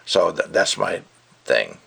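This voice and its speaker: Gravelly older man ranting casually. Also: deep voice, sing-song, slow, sharp tone. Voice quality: gravelly, creaky. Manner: opinionated, rambling, emphatic, acts out mocking quotes. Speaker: audio gear reviewer. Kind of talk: casual ranting video review.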